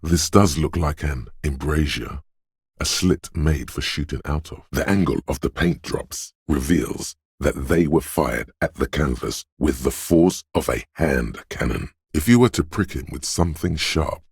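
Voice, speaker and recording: A man voicing a detective's gun-obsessed inner voice in a video game, speaking in a measured, deliberate, weighty way. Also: no room sound, studio quality, exaggerated voice. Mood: tense, urging. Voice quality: very deep, husky.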